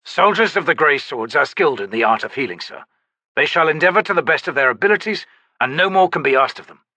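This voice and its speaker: A disciplined knight commander, voiced by a male narrator speaks in a raised, firm, courteous tone with crisp, formal phrasing. His voice sits noticeably higher and louder than the narration, and the recording is dry and close. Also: lively intonation, quick pace, no echo.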